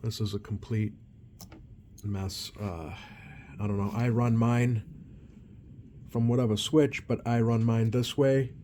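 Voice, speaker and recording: A man giving unscripted, hands-on repair commentary as a technician, with halting, hesitant phrasing and trailing thoughts. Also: mid-range voice. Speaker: CB radio repair technician and channel host. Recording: steady background noise.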